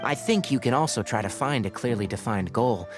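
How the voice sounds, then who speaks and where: A man voicing a level-headed young scholar in an anime-style video game, articulate, matter-of-fact and gently chiding. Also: medium pitch, lively intonation, brisk, over music, lightly acted. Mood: frank, advising.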